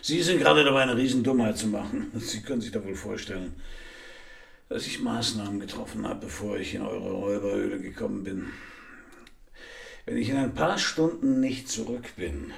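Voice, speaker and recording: A man's voice: lowish voice, normal speed, gravelly, breathy edge, exaggerated voice. An actor playing a wry, laconic private detective who narrates his case in a German radio crime drama. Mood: defiant.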